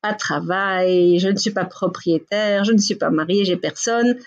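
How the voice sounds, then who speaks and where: A woman's voice: medium pitch, normal speed, lo-fi sound. A woman in her forties from Belgium, a podcast guest telling her story in a frank, chatty way with many fillers. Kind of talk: casual interview talk.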